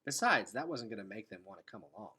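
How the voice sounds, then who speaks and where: Male read-aloud narrator for children's fiction: bright, animated, slightly raspy, expressive. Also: lowish voice, fast.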